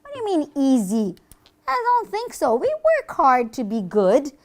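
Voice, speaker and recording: A woman voicing an earnest, pious good character in a children's puppet show, hesitant and gently reproachful. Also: high voice, wildly swooping pitch, measured pace, full character voice. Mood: disapproving.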